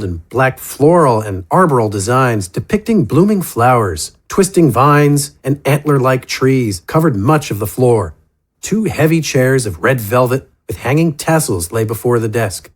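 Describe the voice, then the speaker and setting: A man narrating a fantasy story from a script in a measured, descriptive storytelling manner. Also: medium pitch.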